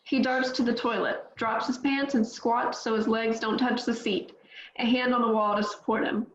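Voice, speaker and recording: A woman reading the stage directions of a script at a table read, even and matter-of-fact. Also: fairly high pitch, normal speed. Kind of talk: reading script action lines. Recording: roomy, dull mic with faint noise.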